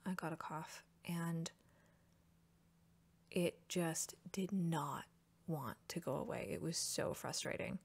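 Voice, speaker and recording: A young woman talking softly, often dropping into whispers, her voice still scratchy from a recent cough, chatting in a relaxed way. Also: low-pitched, slow pace, creaky, long pauses, breathy edge. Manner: relaxed and chatty, with soft laughs. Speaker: ASMR artist painting while chatting. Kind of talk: soft-spoken ASMR art chat, sliding into whispers.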